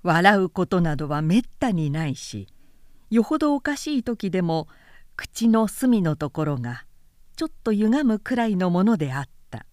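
A woman narrator reading literary fiction aloud in a measured, expressive storytelling manner. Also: fairly low pitch, no room sound, studio quality.

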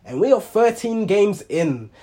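Hoarse young guy, a bit under the weather, rambling football chat, voice a little on the high side. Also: very animated, unhurried, dark tone. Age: young adult. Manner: rambling, many ums and self-corrections. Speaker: football reaction channel host. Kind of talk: casual monologue.

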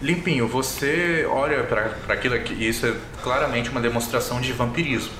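A man's voice: medium pitch, fairly quick, noisy background. Brazilian game master, man, echoey room. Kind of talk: narrating a tabletop game. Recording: roomy echo, music underneath.

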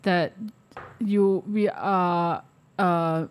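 A woman with a Malaysian accent giving opinions in a casual discussion, halting and repeating words as she argues. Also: lowish voice, fairly even intonation. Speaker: panelist.

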